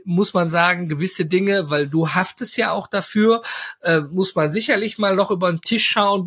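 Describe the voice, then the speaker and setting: A man's voice: sits high, fairly bright tone, slightly rough. A man hosting a podcast interview, chatting casually and rambling with lots of fillers and tag questions. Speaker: podcast host.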